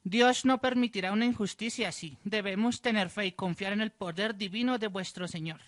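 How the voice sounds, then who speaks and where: A woman's voice: mid-range voice, brisk, natural voice. A woman playing a devout village priest in a student radio drama, solemn and consoling. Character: devout, fatherly village priest, trusting in God.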